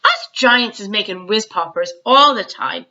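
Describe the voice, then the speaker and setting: A woman voicing a big, kindly, excitable old male giant from a storybook, with mangled grammar and nonsense words. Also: medium pitch, unhurried, muffled recording. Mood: gleeful.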